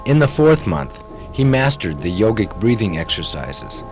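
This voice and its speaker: Low-voiced man narrating over music. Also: sing-song, unhurried, a little mellow. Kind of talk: scripted storybook narration.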